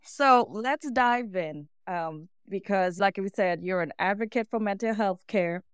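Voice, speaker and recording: A woman's voice: medium pitch, a little slow, smooth, no room sound, clean studio sound. A woman speaking as a podcast host, introducing and interviewing in a prepared, presentational style.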